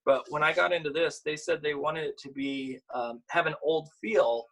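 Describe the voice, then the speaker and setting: A man with a slightly husky voice, an author and illustrator sharing picture books at a virtual storytime in an informal way. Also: a little high, fairly even intonation, a bit bright, poor audio. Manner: informal, halting when chatting. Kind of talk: virtual storytime, reading aloud and chatting about the books.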